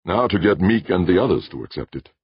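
A man voicing a gentle, tender alien woman in an audiobook. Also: deep voice, expressive, quick pace, breathy edge, dry recording, highs cut off, pitched above the performer's own voice, exaggerated voice. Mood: happy, relieved. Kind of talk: audiobook character voice.

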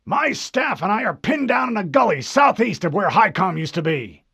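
A man's voice: sits high, sing-song, normal speed, vocal fry, breathy edge, pitched above the performer's own voice, exaggerated voice. A man voicing a barking vice admiral. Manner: barking orders, raised and forceful. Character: gruff older male vice admiral, imperious and impatient.

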